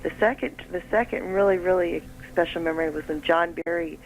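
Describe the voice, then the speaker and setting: A woman calling in to a radio show by telephone, reminiscing in an expressive, talkative way. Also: fairly low pitch, a little fast, slight background noise, rough recording. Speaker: phone-in caller. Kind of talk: casual reminiscing. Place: on a phone line to a radio studio.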